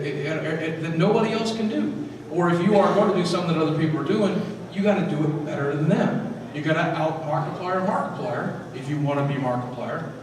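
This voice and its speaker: Guy talking at a con panel, kinda high voice, big echoey hall, noisy, mic far off. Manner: energetic, talks straight to the crowd with quick restarts. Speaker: panel guest speaker. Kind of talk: convention panel talk to a live audience. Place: large echoey convention hall. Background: hall ambience noise.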